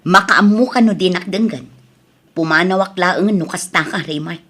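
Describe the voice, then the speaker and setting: A woman's voice: lowish voice, moderate pace, fairly bright tone. A woman acting as a firm, worried wife in an Ilocano audio drama, speaking insistently to her husband, with music behind. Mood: stern, insistent.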